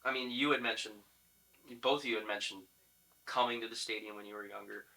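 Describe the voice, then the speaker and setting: A man's voice: fairly low pitch, fairly even intonation, a little fast, gravelly, sharp tone, low-quality recording. A man hosting a casual sports podcast, asking chatty, rambling questions of his guests. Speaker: podcast host interviewing players. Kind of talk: casual sports interview chat.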